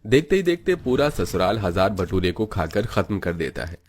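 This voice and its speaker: A man's voice: deep voice, big pitch swings, normal speed. A man reading scripted narration for a moral story.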